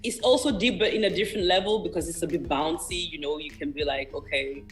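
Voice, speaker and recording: A man's voice: a little high, fast talker. A young man whose first language is French chats as a guest on a radio show. He speaks in an earnest, encouraging way, with music faint in the background. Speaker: independent singer-songwriter, interview guest. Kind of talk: casual radio interview talk.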